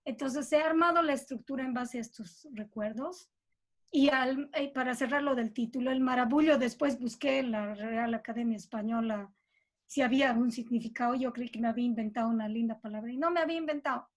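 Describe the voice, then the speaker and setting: High-voiced Bolivian woman in conversation. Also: fairly quick. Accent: Bolivian Spanish. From Cochabamba, Bolivia. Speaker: guest composer. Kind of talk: conversational interview about own work.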